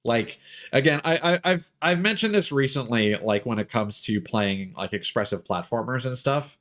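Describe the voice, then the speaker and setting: A man's voice: medium pitch, expressive, moderate pace, fairly bright tone. A man livestreaming a video game with casual, rambling, sweary commentary.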